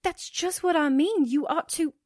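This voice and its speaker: Woman acting very high-pitched worried sidekick. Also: moderate pace, a little mellow, no room sound, studio quality, natural voice. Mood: worried protest. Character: perky, worried female newspaper sidekick. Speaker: voice actor. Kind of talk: 1940s-style radio drama acting.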